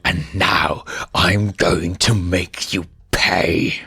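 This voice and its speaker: A man voicing a menacing, contemptuous lion villain in a story, with raspy, drawn-out threats. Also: deep voice, steady tone, pushed and bright, dry recording, full character voice. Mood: furious.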